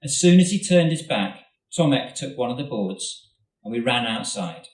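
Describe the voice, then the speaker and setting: A man, an author, reading his own short story aloud at a festival event in a steady, measured way, recorded in a hall from a distance. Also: medium pitch. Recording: roomy hall, mic at a distance.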